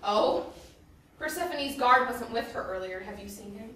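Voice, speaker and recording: Woman acting prim scolding ghost, high and echoey. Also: expressive, normal speed. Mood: stern, puzzled. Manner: scolding, sharp, old-fashioned diction. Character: prim, indignant female ghost from centuries past. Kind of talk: theatrical drama acting. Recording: distant, very echoey room, faint noise.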